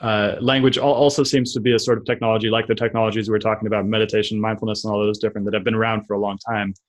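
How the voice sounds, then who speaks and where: Low-voiced, halting male podcast host. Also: level tone. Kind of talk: casual interview.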